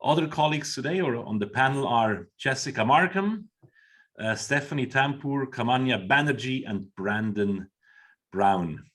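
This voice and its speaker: A man with a Swiss accent hosting a panel webinar over a video call, speaking in a formal, measured way with some fillers. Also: mid-range voice, lively intonation, fairly bright tone. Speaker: webinar host. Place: Doha.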